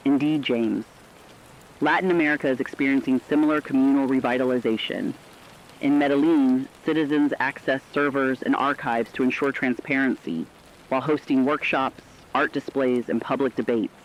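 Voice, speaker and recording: A man playing an earnest radio correspondent, reading scripted news in a formal, measured way. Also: mid-range voice, fairly even intonation, a little hiss or hum, lightly acted. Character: earnest correspondent on an end-of-the-world radio broadcast. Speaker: co-anchor in a fictional radio broadcast. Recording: dull lo-fi radio sound.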